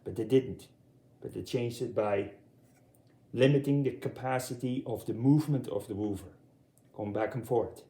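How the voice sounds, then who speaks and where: A middle-aged man from Europe giving a casual, unscripted walkthrough as a hobbyist, speaking haltingly and repeating words. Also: medium pitch, mellow. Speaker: audio hobbyist presenting his speaker collection. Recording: echoey room sound.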